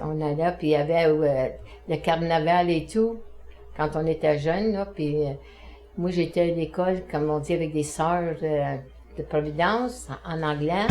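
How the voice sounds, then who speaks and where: A woman's voice: sits low, steady tone, a little slow. An older woman with a Quebec French accent, chatting and reminiscing in a group interview. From Montreal, Quebec. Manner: chatty storytelling, laughs between lines. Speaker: interview participant.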